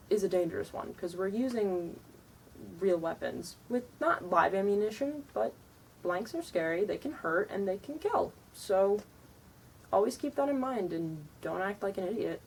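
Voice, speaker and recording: A young woman with a deeper voice giving frank, chatty advice to camera, sounding tired, with many ums and likes. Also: recorded from a distance, a little hiss or hum, rough recording. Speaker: vlogger giving reenactor tips. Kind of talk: casual advice talk to camera.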